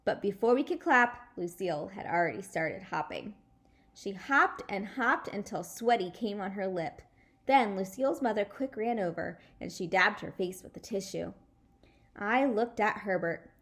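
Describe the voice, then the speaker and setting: A woman's voice: mid-range voice, animated, moderate pace, a bit bright. Woman reading a children's story aloud. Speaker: storybook reader. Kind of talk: animated children's read-aloud.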